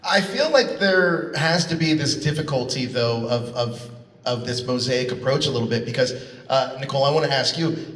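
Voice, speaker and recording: Man, mid-pitched panel moderator, conversational questions, distant and echoing in a hall. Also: fairly bright tone. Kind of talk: casual interviewing. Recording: echoey hall, distant PA sound.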